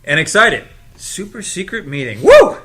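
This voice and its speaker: A man's voice: high-pitched, huge pitch swings, moderate pace, a bit bright, exaggerated voice. A man acting an eager, trusting young man, playful and a little naive, in an audio drama read. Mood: excited, playful.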